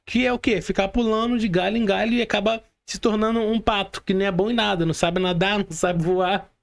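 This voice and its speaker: A man's voice: high voice, fairly even intonation, quick pace, vocal fry. A man with a Brazilian Portuguese accent hosting a conversational interview, explaining points and often checking for agreement with short tag questions. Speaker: podcast host.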